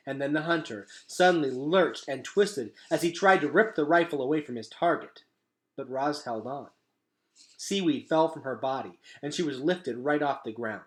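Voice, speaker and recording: A man narrating a children's storybook aloud in a dramatic, emphatic way, dropping to a hush at times. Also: mid-range voice. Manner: dramatic, emphatic delivery with hushed drops.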